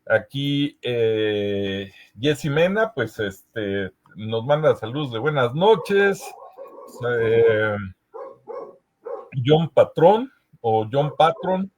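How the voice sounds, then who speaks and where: Slow, hesitant Mexican man. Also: medium pitch, big pitch swings, darkish tone. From Veracruz, Mexico. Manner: hesitant, searching for words with frequent pauses. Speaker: host of a history conference session. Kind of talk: casual moderating, reading audience comments aloud.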